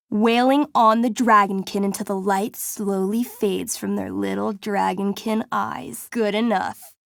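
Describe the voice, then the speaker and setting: Young woman game master, unhurried pace, creaky voice, dramatic playful storyteller, video game character. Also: mid-range voice, no room sound, clean studio sound. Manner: theatrical, suspenseful storytelling with casual asides. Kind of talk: dramatic tabletop game narration.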